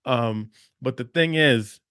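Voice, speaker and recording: A man's voice: medium pitch, slow pace, sharp tone, smooth, no echo, studio quality. A man hosting a motivational podcast, talking emphatically, repeating phrases and checking in with "okay?". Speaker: podcast host.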